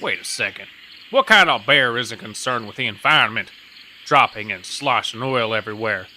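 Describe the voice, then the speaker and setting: A man's voice: medium pitch, lively intonation, moderate pace, pushed and bright. A man playing a pompous traffic cop who rattles off strings of alliteration in an officious tone. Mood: ranting. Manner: officious, tongue-twisting, rising to rants.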